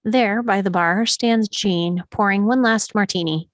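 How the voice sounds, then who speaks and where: A woman's voice: mid-range voice, measured pace. A woman reading stage directions as the narrator of a screenplay table read.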